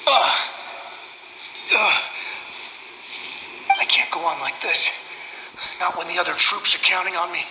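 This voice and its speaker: A man's voice: sits high, steady tone, fairly quick. A man voicing a desperate, battle-weary ghost of a loyal soldier in a video game, urgent and pleading, with ghostly reverb. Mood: exhausted. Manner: urgent, pleading, breathless dread. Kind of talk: dramatic video game dialogue. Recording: long echoing reverb, background noise.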